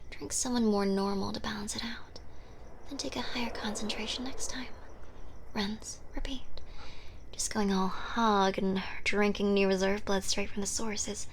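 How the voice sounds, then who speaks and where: A woman playing a seductive, blood-craving modern vampire in an intimate, wry ASMR roleplay, speaking in breathy whispers. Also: medium pitch, a little hiss or hum, lightly acted.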